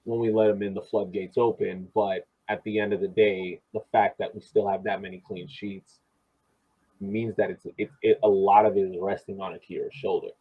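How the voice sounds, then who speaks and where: A man co-hosting a casual sports discussion, speaking haltingly and repeating the starts of his phrases. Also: fairly low pitch, little pitch movement, slightly rough. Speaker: podcast co-host. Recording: dull, lo-fi voice track.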